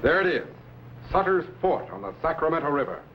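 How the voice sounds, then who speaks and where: An actor playing an authoritative scientist captain, giving orders and explaining earnestly in a 1950s sci-fi serial. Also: male voice, a little high, sing-song, brisk. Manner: crisp commands, earnest explaining. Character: commanding, authoritative time-traveling scientist captain. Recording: dull vintage broadcast with faint noise.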